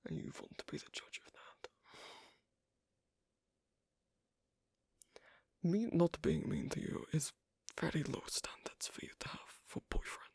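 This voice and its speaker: A man playing a guarded, flirtatious loner werewolf in an ASMR roleplay, speaking hesitantly and teasingly in a breathy, husky near-whisper. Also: on the high side, moderate pace, a little mellow, no echo. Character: gruff, guarded, flirtatious asocial werewolf man on a first date. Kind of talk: ASMR roleplay, intimate one-sided dialogue.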